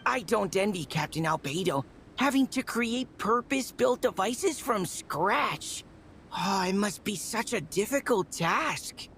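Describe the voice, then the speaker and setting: A woman's voice: medium pitch, lively intonation, unhurried, a bit bright, slight background noise. A woman voicing a shy, earnest young inventor who frets and stammers. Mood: nervous. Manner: fretful, stammering. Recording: game audio with faint music.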